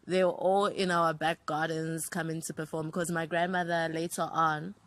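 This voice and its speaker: A woman in her thirties from Zambia, a writer and producer recalling her childhood in a relaxed interview. She talks in a reflective way, reeling off long runs of names. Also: low voice, normal speed, no room sound. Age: 30s.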